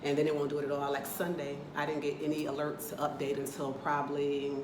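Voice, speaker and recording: Low-pitched American woman vlogger, loose and candid, in a roomy space with steady noise behind her. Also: fast. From United States, Atlanta area. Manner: chatty, candid, talks in loose run-on asides. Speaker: travel vlogger. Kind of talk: casual to-camera vlog. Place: indoors in a rental apartment.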